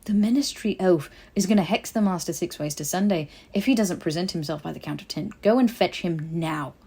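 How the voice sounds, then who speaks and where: A woman's voice: on the low side, brisk, husky. A woman voicing a hot-tempered young male wizard official in a fantasy audiobook, brash, blunt and foul-mouthed. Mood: angry, threatening. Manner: brash, blunt, slangy, loud. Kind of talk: audiobook character voice in a fantasy story.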